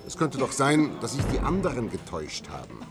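An actor playing a stubborn, defiant scientist husband in a German science-fiction radio drama, earnest and argumentative. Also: male voice, medium pitch, wildly swooping pitch, fairly quick, over music. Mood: stubborn, hurt.

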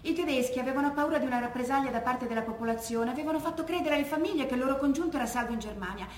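Actress as high-voiced, quick, well-spoken grieving daughter. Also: female voice, natural voice. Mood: earnest, hopeful. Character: composed, devout, well-spoken daughter of an executed prisoner. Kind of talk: theatrical testimony monologue. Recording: roomy echo, faint background noise.

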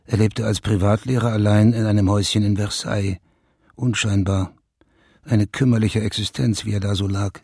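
A senior police official in a radio crime drama, low and very husky with a gravelly edge. He is sober and wry, asking his questions in a measured, probing way. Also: little pitch movement, darkish tone. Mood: pensive, probing.

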